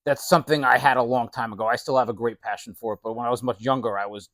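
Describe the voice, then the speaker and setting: A man in his late forties talks casually as an interview guest. His pitch is middling and the sound is dry and free of echo. He is plain-spoken, friendly and earnest, like a shop owner talking with a regular. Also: fairly even intonation, fast talker, a bit bright. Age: late 40s. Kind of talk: casual chat.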